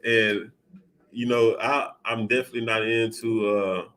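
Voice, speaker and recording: A man hosting a casual podcast chat, talking in a relaxed, loose way with frequent restarts and filler phrases. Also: lowish voice, measured pace. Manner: laid-back, many restarts and "you know what I'm saying". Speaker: podcast host.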